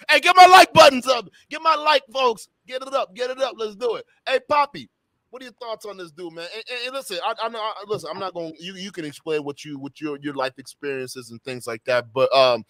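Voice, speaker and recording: A man hosting a live stream, loud and playful, shouting catchphrases and laughing between comments. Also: high-pitched, a little fast, no room sound. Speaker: stream host.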